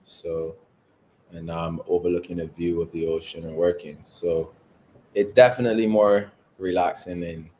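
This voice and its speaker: A man from Barbados, a guest co-founder, giving measured, articulate interview answers from home. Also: sits low, fairly even intonation, a little mellow, slight background noise. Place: home overlooking the ocean.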